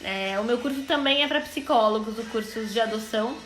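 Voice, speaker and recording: A Brazilian woman hosts a live stream in a high, bright, forward voice. She is chatty and welcoming, speaking casually to people as they join. Music sits faintly with her voice. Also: fairly quick, roomy sound. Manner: chatty, welcoming viewers by name. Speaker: live stream host, clinical psychologist. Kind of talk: casual live chat, greeting viewers.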